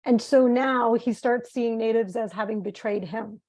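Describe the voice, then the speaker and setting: A woman's voice: a little high, moderate pace, a little mellow. A woman leading an online workshop, explaining things in an informal, conversational lecture style. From born in the United States to Italian parents, spent half of life in Italy. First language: bilingual. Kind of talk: informal lecture and group facilitation.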